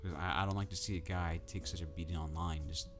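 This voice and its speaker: A man ranting casually to camera about football in a soft, calm, drowsy way. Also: deep voice, fast talker, soft background music. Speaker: football vlogger.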